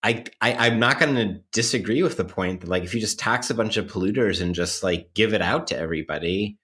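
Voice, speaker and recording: A man co-hosting a podcast in a reflective conversational style, thoughtful and halting, circling his points with many qualifiers. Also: fairly low pitch, fairly bright tone. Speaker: podcast co-host.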